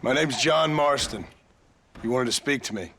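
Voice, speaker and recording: A man's voice: medium pitch, normal speed, fairly bright tone, slight background noise, natural voice. A man playing a laconic, reticent Old West gunman, formally polite and dry, in a game cutscene. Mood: curt, dry. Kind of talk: video game cutscene acting.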